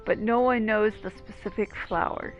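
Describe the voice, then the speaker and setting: A woman's voice: mid-range voice, steady tone, moderate pace, muffled recording. A woman giving casual, wry commentary as she plays a game. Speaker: game streamer. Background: game music.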